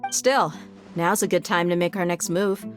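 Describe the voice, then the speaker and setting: An actress voicing an outgoing female mercenary leader in a video game, talking in a brisk, commanding, upbeat way. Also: lowish voice, fairly even intonation, over music, natural voice.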